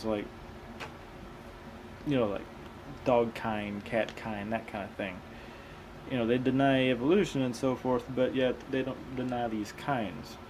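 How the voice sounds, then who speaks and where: A man's voice: medium pitch, darkish tone, a little hiss or hum, rough recording. A man reading aloud and commenting casually as a host, with a skeptical, questioning manner. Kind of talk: casual commentary between read-aloud passages.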